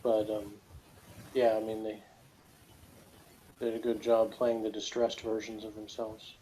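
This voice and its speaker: A man's voice: on the low side, completely flat delivery, a little slow, mellow. Man chatting over a noisy call. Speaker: co-host. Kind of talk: casual review chat over an online call. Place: remote online call. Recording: noisy, unstable online call audio.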